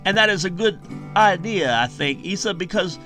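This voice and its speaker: A man's voice: high-pitched, lively intonation, moderate pace, bright, forceful tone, voice pushed up. Guy reading as confident texican militia boss, plain talk, voice lifted a bit, western audiobook, guitar behind. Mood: resolute. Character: confident Texican militia leader planning a fight.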